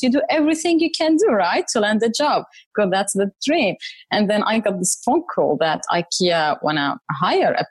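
A woman's voice: mid-range voice, slightly rough, no echo. A woman in her thirties who grew up in Syria, speaking as an interview guest, enthusiastic and repeating words for emphasis. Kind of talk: interview conversation.

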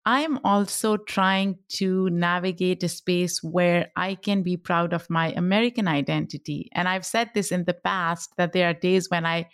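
A woman's voice: fairly low pitch, fairly even intonation, unhurried, few pauses, no room sound, studio quality. A middle-aged woman with a Pakistani accent and Pashto as her first language, talking reflectively about her own life as a podcast guest. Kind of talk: reflective personal interview talk.

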